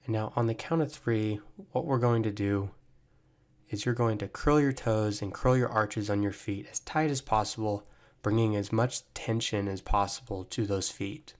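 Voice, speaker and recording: A man guiding a body scan meditation, giving gentle, measured instructions with long pauses. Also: lowish voice, steady tone. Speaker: meditation guide, mental performance coach.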